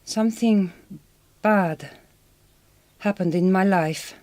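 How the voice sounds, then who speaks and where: An actress as a Hungarian migrant farm worker in a radio drama. She speaks with a Hungarian accent at a middle pitch, direct and reassuring, with a quiet hurt underneath. The sound is very dry. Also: takes it slowly, no room sound, lightly acted. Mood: sad, confiding.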